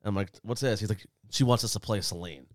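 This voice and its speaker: A man telling a story in casual chat as a co-host, animated and indignant. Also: fairly low pitch, quick pace, no room sound, studio quality. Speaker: DJ and podcast co-host.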